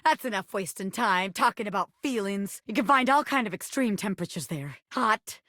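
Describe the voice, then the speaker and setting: A woman voicing a brash video game pin, a wannabe gangster boss with a soft heart, talking in cocky, slangy tough talk. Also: medium pitch, animated, moderate pace, no room sound, clean studio sound, close to an everyday voice. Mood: bossy. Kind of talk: animated video game character voice acting.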